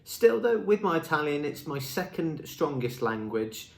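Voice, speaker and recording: A man's voice: on the high side, very animated, a little slow, vocal fry. Upbeat man vlogging in an echoey room. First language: English. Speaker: language school marketing presenter. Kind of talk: talking-head vlog. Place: indoor room with a screen behind him.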